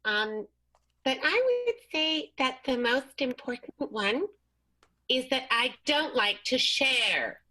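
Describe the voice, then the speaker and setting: A woman playing a glamorous, possessive movie-star diva, haughty and measured, in an improvised radio play. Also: high voice, sing-song, sharp tone, heavily acted, low-quality recording. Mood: haughty, cool.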